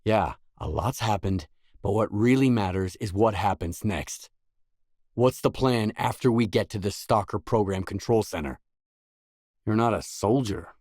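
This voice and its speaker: A man's voice: fairly low pitch, a little mellow, no room sound, studio quality. A man playing a determined, blunt stalker in a video game, speaking tersely and seriously in matter-of-fact dialogue lines. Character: determined, blunt male stalker adventurer in a grim zone. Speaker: game protagonist.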